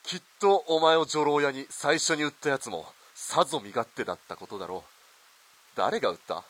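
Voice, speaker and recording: A man's voice: fairly high pitch, normal speed, fairly bright tone. A man playing a corrupt, callous army lieutenant colonel in a radio drama, haughty and commanding. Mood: contemptuous, angry.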